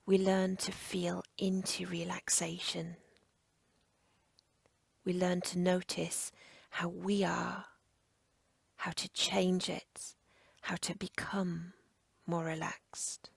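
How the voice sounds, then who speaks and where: A woman with a breathy, husky near-whisper guides a relaxation meditation as a yoga nidra instructor, in short, measured, soothing phrases. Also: on the low side, flat delivery, no echo.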